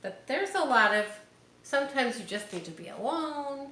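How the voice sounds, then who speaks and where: A woman's voice: high-pitched, big pitch swings, moderate pace, pushed and bright. A woman reading a picture book aloud to children, speaking to them directly and encouragingly with casual asides. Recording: roomy echo, faint background noise.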